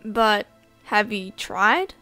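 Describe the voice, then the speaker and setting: A woman's voice: medium pitch, animated, very slow, bright, forceful tone, no echo, soft background music, full character voice. A woman voice acting a questioning, determined girl on a spaceship in an amateur game roleplay. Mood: curious.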